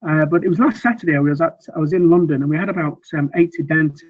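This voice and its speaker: Slightly croaky middle-aged male coach. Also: on the high side, fast, dull audio. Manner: earnest, loose, full of fillers and restarts. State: slightly croaky from weeks of teaching. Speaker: sales communication coach. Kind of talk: presentation with slides.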